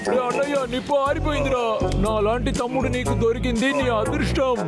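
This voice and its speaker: An excitable younger brother bear from a kids' cartoon, with an extremely high voice. He is whiny, eager and goofy, exclaiming in admiration. Loud music plays behind him. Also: fast talker. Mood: eager, urgent. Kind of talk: dubbed children's cartoon acting.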